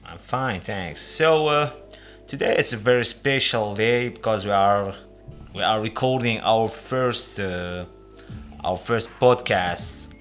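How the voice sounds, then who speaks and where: A man with an Algerian accent hosting a casual interview, halting as he searches for his words. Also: on the low side, a bit bright, soft background music. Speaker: podcast host, interviewer.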